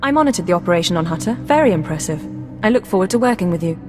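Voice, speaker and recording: Intelligence officer female actor, rapid, precise, coolly superior, formal game dialogue with reverberant sound. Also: lowish voice, expressive, over music, close to an everyday voice. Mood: brisk, formal. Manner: crisp, formal.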